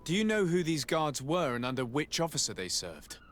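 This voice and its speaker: A courteous, formal noble envoy. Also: male voice, a little high, very animated, a bit bright. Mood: polite inquiry. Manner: formal, polite, measured. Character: courteous, composed male noble envoy investigating wrongdoing. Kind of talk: video game cutscene acting.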